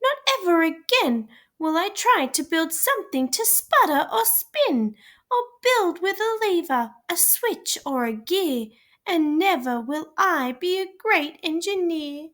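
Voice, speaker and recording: A shy young storybook girl, played by a woman reader, pitched well up high. She sounds discouraged and full of self-doubt, a small inventor ready to give up. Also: very animated, unhurried, fairly bright tone, exaggerated voice. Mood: despairing.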